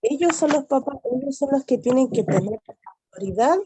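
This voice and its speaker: A woman's voice: high-pitched, animated, a little fast, dark tone, husky, no echo. A woman in her twenties with a Chilean accent, an adult student speaking earnestly in class, with many restarts. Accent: Chilean Spanish. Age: 20s. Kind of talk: class discussion.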